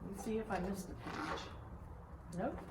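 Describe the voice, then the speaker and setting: A woman reading a children's book aloud with expressive, measured storytelling. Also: medium pitch. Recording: roomy, distant mic, faint background noise.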